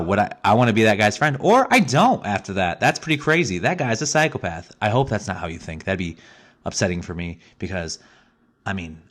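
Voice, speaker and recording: A man's voice: fairly low pitch, a bit bright. A young man from Missouri with a Missouri accent, hosting a solo podcast in a rambling, self-deprecating monologue. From Missouri, USA. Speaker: podcast host.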